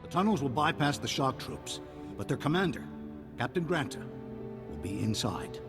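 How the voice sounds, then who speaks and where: A serious military commander in a video game, acted by a man, with a mid-pitched, animated voice. He briefs with clipped authority while music plays behind him. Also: normal speed, close to an everyday voice. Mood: serious, commanding. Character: commanding male military officer giving mission briefings, serious. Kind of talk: scripted video game acting. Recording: game cutscene with music underneath.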